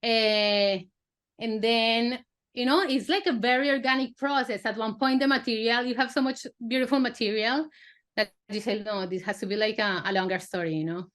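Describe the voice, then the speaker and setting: A woman with a slightly high voice, a native Spanish speaker from Colombia, talks about her film in easy, searching English. She restarts phrases and fills pauses while she hunts for the right word. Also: steady tone, a bit bright. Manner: searching for words, many fillers and restarts. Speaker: filmmaker guest. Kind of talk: casual interview answers.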